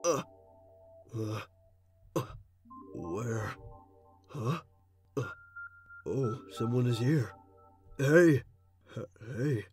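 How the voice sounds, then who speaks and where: A man voicing a shaken skeleton, a devoted brother, stammering and halting in a dramatic comic dub. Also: medium pitch, animated, darkish tone. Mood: uneasy. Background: music.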